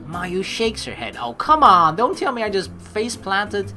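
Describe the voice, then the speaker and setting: A woman plays through a game aloud with a bright, forceful voice. Her pitch swings widely as she playfully narrates and rambles, with faint music underneath. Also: animated, unhurried. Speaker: let's play host. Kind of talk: casual game playthrough commentary mixed with reading narration aloud. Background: game background music.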